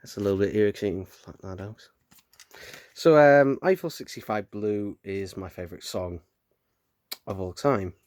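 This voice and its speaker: A British man with a croaky voice from hay fever, chatting casually as he unboxes and rambles with lots of fillers and restarts. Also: lowish voice, normal speed, darkish tone, dry recording. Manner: rambling, filler-heavy, self-correcting. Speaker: unboxing vlogger. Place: room at home with window open.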